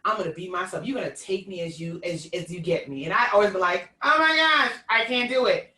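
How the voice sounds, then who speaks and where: A woman's voice: medium pitch, brisk, reverberant, distant mic. A woman host from New York with a Queens accent, talking candidly and animatedly on a video call. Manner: lively, candid, animated storyteller. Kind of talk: casual conversation on a video call.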